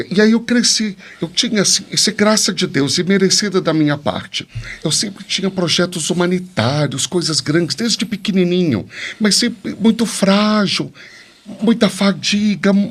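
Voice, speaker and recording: Higher-voiced Brazilian man telling his story. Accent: Brazilian Portuguese. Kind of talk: personal storytelling in a podcast interview.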